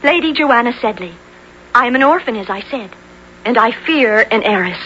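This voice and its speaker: A woman's voice: fairly high pitch, animated, normal speed, sharp tone. A woman playing a brave young orphaned heiress in a 1970s radio drama, speaking in earnest, theatrical, old-fashioned formal language. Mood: urgent, guarded. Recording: dull 1970s radio broadcast, noisy.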